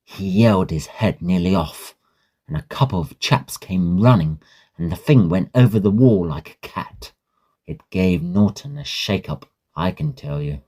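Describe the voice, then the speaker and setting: Audio drama: male actor as breezy undergraduate, low pitch, unhurried, swooping storyteller's delivery, slangy and chummy. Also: expressive, mellow. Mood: gossipy, dramatic. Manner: jaunty, storytelling, old-fashioned slang. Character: chatty, breezy Victorian Oxford student, gossipy young man. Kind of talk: Victorian audio drama dialogue.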